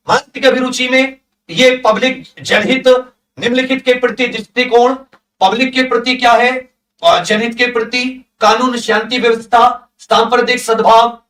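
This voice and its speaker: A man's voice: very high-pitched, a bit bright. A man teaching an exam class, reading out syllabus items one by one with short comments and English technical terms mixed in. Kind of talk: lesson, reading through a syllabus list with brief comments. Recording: echoey room, distant microphone.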